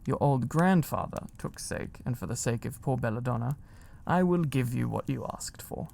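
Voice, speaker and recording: A commanding old wizard from a storybook, acted by a man. His voice is gravelly and mellow, with a pompous, deliberate delivery and a teasing, amused temper. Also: mid-range voice, lively intonation, slight background noise. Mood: magnanimous. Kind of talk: storybook character voice.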